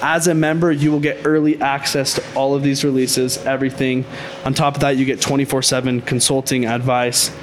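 A man speaking as a guest on a podcast, explaining his crypto consulting business in a confident, pitching way, often saying "I think". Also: little pitch movement, a little fast, faint music behind. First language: Haitian Creole speaker. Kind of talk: casual podcast interview.

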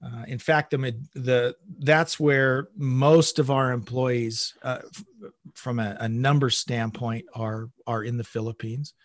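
A man's voice: medium pitch, steady tone, takes it slowly, no room sound. Middle-aged man in conversation, dry sound. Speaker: interview guest, manager at a global company. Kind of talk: conversational interview.

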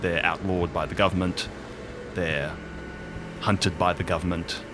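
A man playing a blunt, probing confidant in an improvised audio drama, speaking plainly and haltingly. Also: low-pitched, flat delivery, background noise. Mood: grave. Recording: music under the voice.

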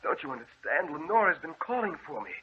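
An actor playing a frantic, deranged grieving husband, desperate and pleading in melodramatic 1940s radio horror style. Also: male voice, fairly high pitch, big pitch swings, fast, crowd noise. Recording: noisy, muffled old radio recording.

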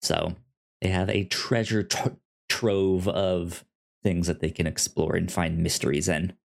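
A man from the United States co-hosting a casual film discussion, halting and often stammering on the first sounds of words. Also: low-pitched, steady tone, creaky. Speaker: podcast co-host discussing films.

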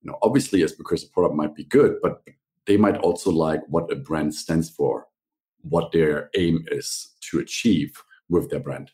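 A man's voice: very deep voice, normal speed, dark tone. A man hosting an interview podcast, introducing and questioning in a conversational way. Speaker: podcast host.

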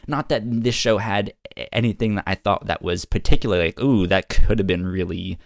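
A man's voice: fairly low pitch, fairly quick, gravelly, no room sound, clean studio sound. A man hosting a review podcast in casual conversation, stammering and often repeating sounds and words as he goes. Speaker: podcast host.

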